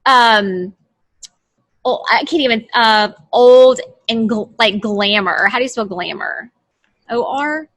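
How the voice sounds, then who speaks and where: A woman lawyer from the United States explains her steps over a video call, with a voice a little higher than most. She chats casually, restarting phrases as she clicks through pages. The call sound is plain. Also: slow, fairly bright tone. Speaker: trademark lawyer. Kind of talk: casual explanatory chat over screen share.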